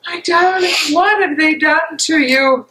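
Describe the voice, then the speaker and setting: Woman playing a bright-voiced, slow, grieving fiancée. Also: extremely high pitch, exaggerated voice, rough recording. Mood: anguished, pleading. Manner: earnest, pleading. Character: tender, grieving young fiancée longing for her beloved. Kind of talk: stage play dramatic reading.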